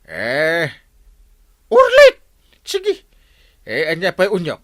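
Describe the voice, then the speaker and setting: Scolding barangay captain, Ilocano accent, excitable comic radio acting, very animated pitch, dry close sound. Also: male voice, slow pace, no echo, exaggerated voice. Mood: exasperated. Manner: exclamatory, starts phrases with "eh". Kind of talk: comedy radio drama acting.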